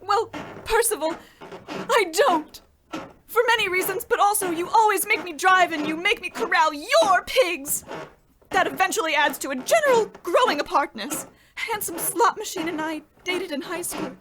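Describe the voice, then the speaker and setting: A faithless, exasperated wife, acted by a woman in a mock old radio drama. The voice is extremely high, prim and bluntly matter-of-fact, with noise on the recording. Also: lively intonation, normal speed, a bit bright. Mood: matter-of-fact confession. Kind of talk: old-time radio play parody acting.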